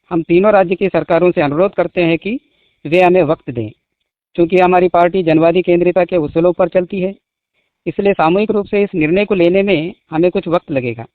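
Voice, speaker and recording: A man reading out a formal statement as a spokesman, in measured short phrases, over a phone call. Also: high voice, dry recording. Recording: muffled phone-line call recording.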